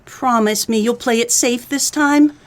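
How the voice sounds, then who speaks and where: Woman acting dim, devoted gal Friday, high voice, pleading manner, comedic noir radio drama. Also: unhurried, close to an everyday voice. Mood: worried, pleading. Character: dim-witted, doting 1940s detective's secretary with moxie.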